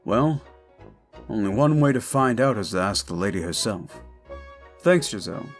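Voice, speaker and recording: A man's voice: on the low side, lively intonation, normal speed, soft background music, lightly acted. A man playing an earnest, slightly nervous ghost catcher in an audio drama.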